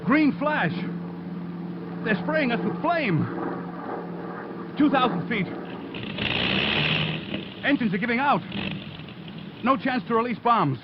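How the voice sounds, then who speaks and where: A man's voice: extremely high pitch, expressive, takes it slowly, long pauses, exaggerated voice. A man playing an army bomber pilot lieutenant in a 1930s radio drama, reporting in clipped military style. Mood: desperate resolve. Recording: dull lo-fi old broadcast, loud noise.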